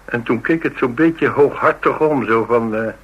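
A man's voice: medium pitch, moderate pace, husky, lo-fi sound, natural voice. An actor playing a brooding, philosophical man in a radio drama, musing and dryly understated. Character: brooding, philosophical man named Paul, haunted by dreams. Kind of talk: Dutch radio drama acting, naturalistic dialogue.

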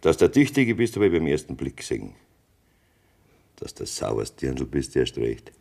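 An actor playing a flirtatious middle-aged farmer with a Bavarian accent and a gruff, gravelly, husky voice in a radio drama. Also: male voice, extremely low pitch, wildly swooping pitch, normal speed, darkish tone, full character voice. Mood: flirtatious, teasing.

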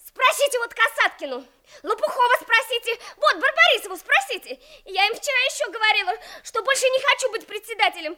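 Shrill, excitable little schoolgirl. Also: a little fast, a little hiss or hum, heavily acted. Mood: fed up, insistent. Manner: insistent, whiny, childlike. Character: pestering, excitable young schoolgirl. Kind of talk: Soviet children's radio play acting.

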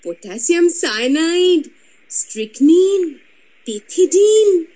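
A high, lilting young ghost. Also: female voice, very animated, takes it slowly, dark tone, full character voice, poor audio. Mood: lively. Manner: intimate storytelling, teasing her listener. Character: ghost of a proud young woman. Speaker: ghost narrating her life story. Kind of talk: radio drama narration.

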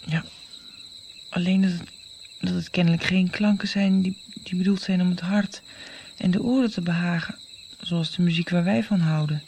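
A man's voice: high-pitched, steady tone, normal speed, creaky, darkish tone, slight background noise. A man playing an attentive, puzzled friend, hesitantly searching for words in a Dutch radio play. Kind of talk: Dutch radio drama dialogue.

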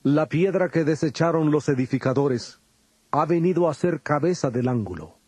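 A solemn male teacher of great authority for a scripture drama. The voice is soft-edged and warm, a little high, and recorded very dry. Each phrase is measured, firm and declamatory. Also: lively intonation, breathy edge, no echo. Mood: solemn storytelling.

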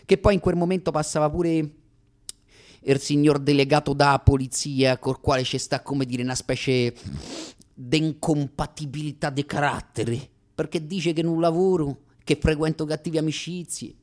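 An actor playing a boastful Roman street bully in a comic theatrical monologue, with a thick Roman accent and a swaggering, declamatory slangy delivery. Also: male voice, a little high, steady tone, normal speed, a little mellow, natural voice. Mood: boastful storytelling. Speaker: stage actor performing a one-man radio play.

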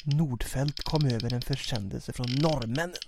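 A man's voice: medium pitch, gravelly, background noise, close to an everyday voice. A man playing an anxious, chatty sidekick. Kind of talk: audio drama acting.

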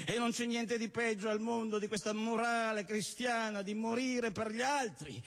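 A man performing theatrical spoken-word poetry on stage, declamatory, emphatic and irreverent, with insistent repetition. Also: very high-pitched. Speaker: performance poet. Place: live on stage at a cultural venue event.

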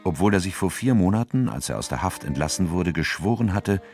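A man narrating a radio crime drama from a script in a measured, sober, factual way. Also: deep voice, animated, a little mellow. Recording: faint music behind.

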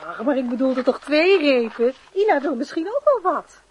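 A woman acting in a radio play as a mother who gently admonishes and reasons with her son. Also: sits high, big pitch swings, normal speed, muffled recording, a little hiss or hum. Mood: friendly, motherly. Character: gently chiding mother of a lovestruck schoolboy.

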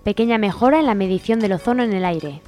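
Spanish lady reading radio news headlines, mid-pitched voice, bit of music behind. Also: quick pace, a little mellow. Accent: Spanish, from Spain. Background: background music.

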